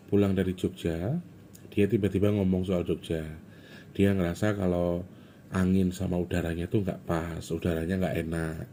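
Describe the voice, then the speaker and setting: A man talks in a low, warm voice with a faint hiss of noise behind him. He rambles casually to his viewers and drops into phrases as he goes. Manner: loose and chatty, slipping into Javanese phrases. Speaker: spiritual content vlogger.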